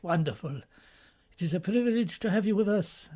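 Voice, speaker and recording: A man's voice: high-pitched, expressive, fairly quick, mellow, pitched above the performer's own voice. A man voicing an older cardinal dean who is modest and courteous, speaking in a formal, hesitant way. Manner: formal, hesitant, self-effacing.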